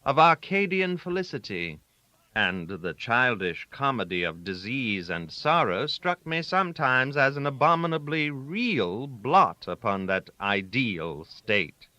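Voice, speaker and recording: A man narrating a literary story aloud, with a theatrical, wry manner and deliberate emphasis on key words. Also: medium pitch, animated, pushed and bright, no echo. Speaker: audiobook narrator.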